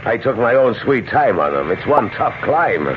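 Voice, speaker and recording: An actor playing a genial, weary police sergeant in a radio drama, chatting in a folksy, unhurried way. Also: male voice, medium pitch, sing-song, natural voice. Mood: friendly. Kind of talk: old-time radio drama acting. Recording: muffled, noisy old radio broadcast recording.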